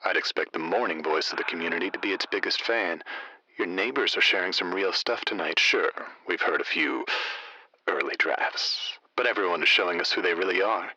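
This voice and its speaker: Super chill night dj for an audio drama, low low voice, crisp forward tone, smooth talker. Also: male voice, a little fast, bright, forceful tone, dry recording, highs cut off, full character voice. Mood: laid-back, teasing. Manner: chill, easygoing, florid phrasing. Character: laid-back, smooth-talking male night-shift radio DJ, unflappable and poetic.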